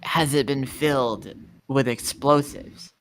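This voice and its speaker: A man playing a blunt, exasperated young mercenary in tabletop roleplay, talking haltingly. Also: medium pitch, steady tone, a little hiss or hum, close to an everyday voice. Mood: incredulous.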